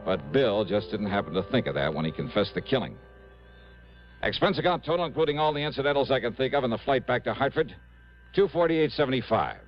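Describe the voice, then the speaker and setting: A man's voice: mid-range voice, expressive, close to an everyday voice. An actor playing a shrewd, confident male insurance investigator in a vintage radio drama, speaking in a pointed, dramatic manner. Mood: wry. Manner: pointed, deliberate, dramatic delivery. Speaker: star of a detective radio drama. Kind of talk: 1950s-60s radio drama acting with first-person narration. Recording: muffled vintage radio broadcast, faint music.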